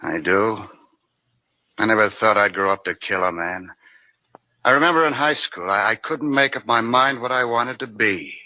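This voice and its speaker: An actor playing a bitter, brooding young drifter and killer in a 1950s radio drama. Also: male voice, lowish voice, lively intonation, moderate pace, bright, forceful tone, no room sound.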